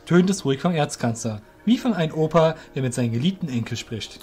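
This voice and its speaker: A man's voice: fairly high pitch, expressive, quick pace, a little mellow, soft background music. Male narrator of a fantasy tale. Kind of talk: scripted audiobook-style narration of a fantasy audio drama.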